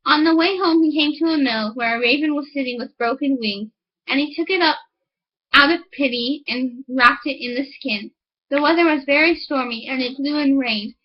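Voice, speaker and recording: A woman's voice: sits high, highs cut off. A woman reading a fairy tale aloud as scripted audiobook narration. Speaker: audiobook reader.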